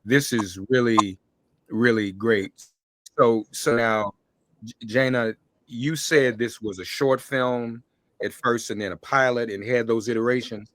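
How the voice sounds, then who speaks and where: A man's voice: medium pitch, steady tone, measured pace, a bit bright, no echo. A man hosting a casual conversational interview, with informal slang and joking asides. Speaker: interview host.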